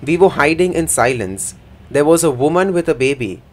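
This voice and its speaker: A man voicing a first-person interpretation for a signing speaker in a plain, measured way. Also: fairly high pitch, expressive.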